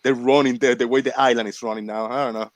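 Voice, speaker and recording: A man's voice: medium pitch, fairly even intonation, quick pace, no echo. A man in his forties from Puerto Rico telling stories in a casual chat on a live stream. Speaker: stream host.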